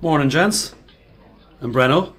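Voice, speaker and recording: An actor playing a self-assured, dry-witted factory worker with a Dublin accent in a radio play. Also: male voice, a little high, measured pace, noisy background, close to an everyday voice. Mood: breezy. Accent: Irish, Dublin. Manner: wry, teasing, confident.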